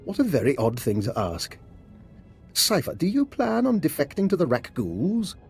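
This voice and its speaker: Lively, wry eccentric scientist. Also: male voice, fairly high pitch, normal speed, creaky, slightly rough, faint music behind, heavily acted. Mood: wry, amused. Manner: wry, theatrical, precise. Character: eccentric, witty male scientist, slyly genial. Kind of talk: video game voice acting.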